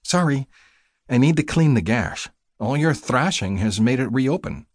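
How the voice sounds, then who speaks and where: A man voicing a female nurse in an audiobook, caring but firm and gently bossy, soothing and scolding. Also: medium pitch, animated, a little slow, no echo, studio quality, pitched above the performer's own voice. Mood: chiding, teasing.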